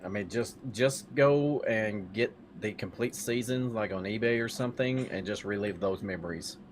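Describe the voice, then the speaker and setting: A man's voice: lowish voice, normal speed, slight background noise. A man talking casually as a podcast co-host, with a blunt way of putting things. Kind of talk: casual chat about movies.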